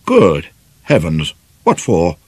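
A man's voice: sits low, huge pitch swings, slow, a little mellow, breathy edge, no room sound, pitched below the performer's own voice, exaggerated voice. A man playing an irritable, nervy village doctor with a British accent and a clipped, indignant manner in an audiobook. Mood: forced composure.

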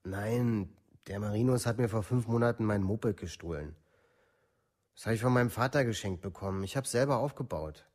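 A man's voice: on the low side, normal speed, a little mellow, close to an everyday voice. An actor playing a blunt young male assailant giving short answers under questioning in a radio play. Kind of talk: documentary radio play testimony.

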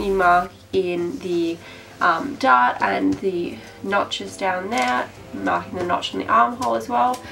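A young woman talks casually through a sewing project, her voice a little nasal from a cold. She sits a bit back from the mic, and clear music plays under her. She fills pauses with "um" as she goes. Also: medium pitch, fairly bright tone, far from the mic, rough recording. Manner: chatty, with frequent "um" fillers. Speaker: sewing tutorial host.

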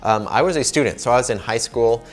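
A man's voice: medium pitch, fast. A man in his twenties with an American accent, answering fan questions to camera in an informal, conversational way. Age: 20s. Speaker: host, ring maker. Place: indoor workshop with wooden wall.

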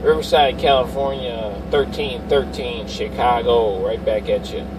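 A man's voice: medium pitch, fairly even intonation, unhurried, distant mic. Middle-aged male CB operator, slangy repeated call signs, off-mic inside a very noisy vehicle. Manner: repetitive, slangy on-air patter. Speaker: CB radio operator. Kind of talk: casual CB radio chatter with call signs. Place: inside a parked or running Suburban. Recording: loud vehicle noise, off-mic.

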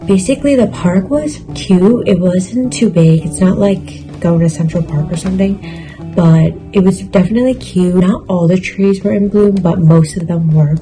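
A woman's voice: lowish voice, moderate pace, soft background music, poor audio. A young woman vlogging at home, chatting casually and tiredly to a phone camera with lots of fillers. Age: young adult. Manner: relaxed, chatty, filler-heavy with "like" and "kinda". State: low energy, tired. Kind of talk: casual vlog chat to camera. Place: bedroom, indoors, small. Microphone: phone camera at arm's length.

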